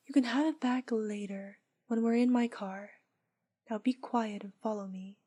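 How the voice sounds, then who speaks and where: Woman acting as seductive witch captor, slightly high voice, mellow tone, teasing, breathy, intimate roleplay audio. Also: natural voice. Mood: sweetly coaxing. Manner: breathy, teasing, slow and deliberate, often dropping to whispers. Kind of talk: intimate roleplay audio drama, hypnosis ASMR.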